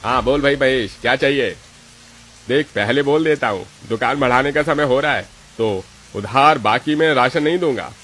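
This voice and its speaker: A man voicing a stingy, rude village grocer in a cartoon moral story, with a gruff, scornful, blunt manner. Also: mid-range voice, moderate pace, a bit bright, close to an everyday voice. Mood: curt, harsh. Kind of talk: Hindi animated moral story acting.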